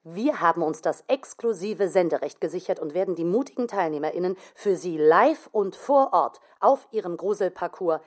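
A woman's voice: lowish voice, expressive, normal speed, few pauses, a little mellow. An actress playing an upbeat female radio reporter addressing listeners live, in a children's radio play. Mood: upbeat, official.

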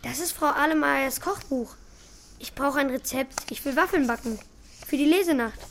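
A performer playing an eager, awkward schoolboy with a hesitant, excitable manner in a children's radio play. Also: sits high, moderate pace, slight background noise.

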